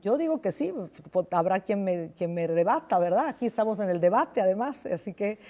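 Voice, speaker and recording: Una escritora de origen italiano con acento italiano, entrevistada en televisión; habla reflexiva, con titubeos y muchas pausas. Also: female voice, medium pitch, animated, mellow. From Italy. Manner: thoughtful, hesitant, many restarts and fillers. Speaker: novelist guest. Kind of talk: television interview.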